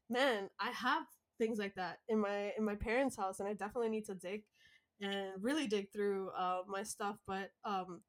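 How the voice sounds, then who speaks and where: A woman podcast host asking questions in an upbeat, enthusiastic way, slipping in Spanish phrases. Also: on the high side. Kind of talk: casual podcast interview.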